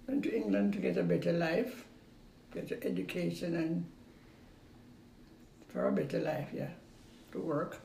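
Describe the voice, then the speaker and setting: Older Jamaican woman with a gravelly voice. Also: lowish voice, dark tone. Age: 80s. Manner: unhurried, repeats phrases, trails off. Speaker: interviewee. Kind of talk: casual recollection. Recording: roomy recording with faint background noise.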